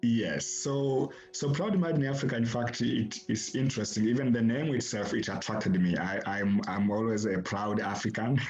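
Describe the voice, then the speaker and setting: A man from Tanzania, answering podcast interview questions as an academic guest in a halting way, often repeating words as he starts a phrase. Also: on the low side, darkish tone, dull audio.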